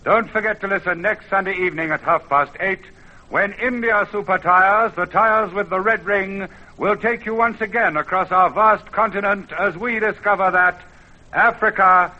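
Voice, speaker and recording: A man's voice: high-pitched, moderate pace. A man giving a formal, declamatory radio sign-off and sponsor announcement in old-time style. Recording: dull vintage radio recording.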